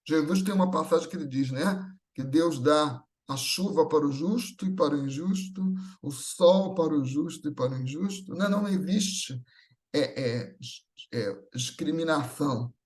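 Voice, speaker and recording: Brazilian guy doing a chatty religious talk, pretty high voice, keeps asking little check-in questions. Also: normal speed, a little mellow. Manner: informal, often checking in with rhetorical questions. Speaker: speaker at a Spiritist gathering. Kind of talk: religious talk, conversational and explanatory.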